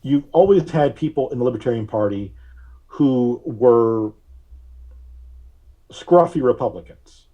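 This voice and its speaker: Husky man, measured and wry. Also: medium pitch, expressive, warm tone, muffled recording. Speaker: podcast guest. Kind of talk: conversational political commentary.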